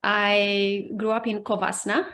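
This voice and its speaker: A woman from Romania in her forties, a coach who is a guest on a video call. She speaks at a middling pitch in a friendly, chatty manner. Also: flat delivery, takes it slowly, fairly bright tone. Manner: friendly, chatty, laughs easily. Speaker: guest, personal development coach. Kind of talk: interview answers.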